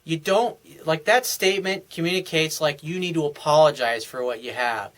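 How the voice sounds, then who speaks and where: A man's voice: fairly high pitch, normal speed, fairly bright tone. A male dating coach giving blunt, emphatic advice in a monologue, swearing freely and often restarting his phrases. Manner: repeats and restarts phrases as he talks. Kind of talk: monologue answering a viewer's question.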